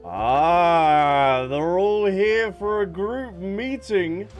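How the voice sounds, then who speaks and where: A man's voice: high voice, expressive, very slow, soft background music. A man streaming a video game with casual, playful, teasing commentary and chat with viewers.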